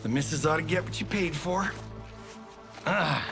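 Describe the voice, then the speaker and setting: An actor playing a cocky, glib Hollywood action star in a video game, with an American accent and a sarcastic, theatrical manner. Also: male voice, fairly high pitch, a little slow, gravelly, over music. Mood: amused, dismissive. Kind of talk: cinematic video game dialogue.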